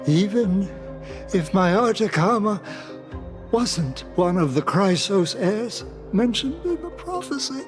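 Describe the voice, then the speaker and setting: An actor playing a gentle, forgetful old father in a game, with a halting, wistful delivery. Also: high voice, full character voice. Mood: shaken, grieving. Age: older. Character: gentle elderly father, forgetful and doting, grieving. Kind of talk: dramatic game voice acting. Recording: music behind the voice. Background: clear background music.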